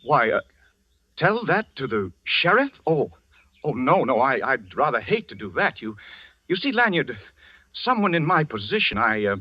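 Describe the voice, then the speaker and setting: An actor playing a smooth, evasive scandal reporter, sly and gossipy, in an old-time radio mystery. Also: male voice, medium pitch, very animated, moderate pace, dry recording. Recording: dry, muffled vintage radio recording.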